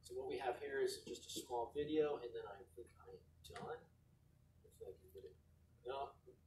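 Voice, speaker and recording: A man's voice: mid-range voice, moderate pace, warm tone. A man on an industry panel giving a casual, rambling slide presentation that keeps checking in with "right?". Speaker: equipment manufacturer panelist. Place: conference hall, heard from far off. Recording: echoey distant hall livestream, faint noise.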